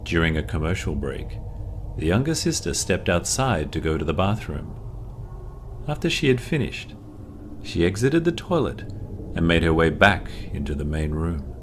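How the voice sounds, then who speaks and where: A man narrating a scripted horror story in a dramatic, suspenseful way, drawing out his pauses. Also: deep voice, big pitch swings, slight background noise. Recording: music under the voice. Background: music.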